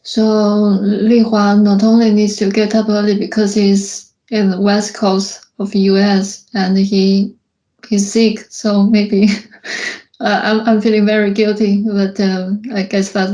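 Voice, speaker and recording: Slow, flat-voiced woman chairing a webinar. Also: mid-range voice, rough recording. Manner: apologetic, hesitant with fillers. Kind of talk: casual introduction.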